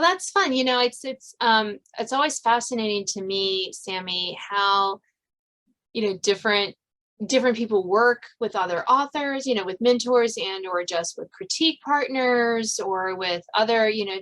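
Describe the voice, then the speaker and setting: A woman's voice: mid-range voice, normal speed, fairly bright tone. A woman hosting an interview podcast in a casual, chatty way, thinking aloud as she talks. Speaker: podcast host interviewing a novelist. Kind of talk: casual chat.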